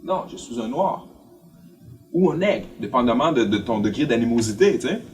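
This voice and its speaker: A man's voice: mid-range voice, lively intonation, normal speed, a little mellow, recorded from a distance. A man with a Quebec French accent telling personal stories in an interview, expressively acting out remarks that he quotes. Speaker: documentary interviewee. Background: faint music.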